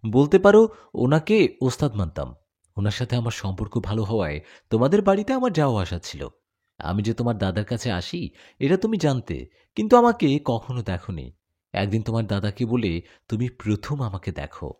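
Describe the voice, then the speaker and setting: Devoted warrior jinn acted by a male storyteller, earnest and confessional, mid-range, very expressive melody. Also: mid-range voice, moderate pace. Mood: tender, nostalgic.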